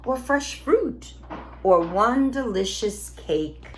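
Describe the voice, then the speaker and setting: Woman playing an old wife, storybook, eager, clever, exclaiming, high swooping pitch. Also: slow, a little hiss or hum. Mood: wishful. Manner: exclaiming, expressive.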